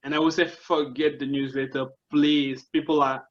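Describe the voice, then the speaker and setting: A man's voice: fairly high pitch, little pitch movement, normal speed, highs cut off. A man with a Congolese French accent, a marketing consultant guest explaining his ideas earnestly step by step, often restarting his sentences. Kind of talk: casual explanatory interview talk.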